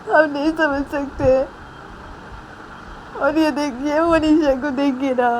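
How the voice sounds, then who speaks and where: Over clear vehicle noise comes a high-pitched young mother played by a woman storyteller. She is distraught and tearful, pleading with her own mother in a plaintive, repetitive wail, as in a homemade story drama. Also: a little mellow. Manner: plaintive, repetitive wailing complaint. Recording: clearly noisy recording with vehicle sounds.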